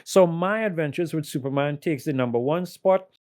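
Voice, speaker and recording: A man's voice: on the high side, normal speed, a little mellow, dry recording, studio quality. A man giving a casual, earnest, emphatic review on a podcast. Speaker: podcast panelist.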